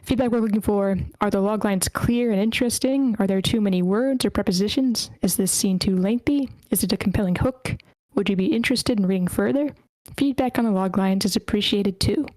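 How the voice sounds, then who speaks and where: A woman's voice: mid-range voice, fairly quick, few pauses, a little mellow, dry recording, low-quality recording. A woman casually moderating a group feedback meeting as its host. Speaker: host and moderator of a script table read.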